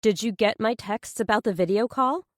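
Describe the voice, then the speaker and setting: A woman's voice: a little high, normal speed, dry recording, clean studio sound, pitched above the performer's own voice, natural voice. A woman voicing an evasive, businesslike female lawyer who presses insistently in a thriller audiobook. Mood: shaken, guarded.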